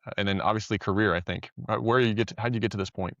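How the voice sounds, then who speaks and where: A man's voice: fairly low pitch, fairly even intonation, very fast, a bit bright, no echo. Podcast host guy, friendly curious questions, dry dull audio. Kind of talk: interview questions.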